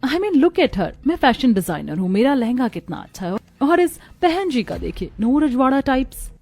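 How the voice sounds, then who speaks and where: A woman's voice: high-pitched, sing-song, moderate pace, a little mellow, exaggerated voice. A woman voicing a vain young fashion designer, mocking, sneering and dropping English phrases in a cartoon. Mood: mocking, boastful.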